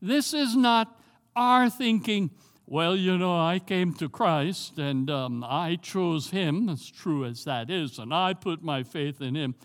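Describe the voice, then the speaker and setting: An older man with a Jamaican accent preaching a sermon as pastor, earnest and emphatic, slowing down to stress key phrases. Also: fairly high pitch, big-room sound.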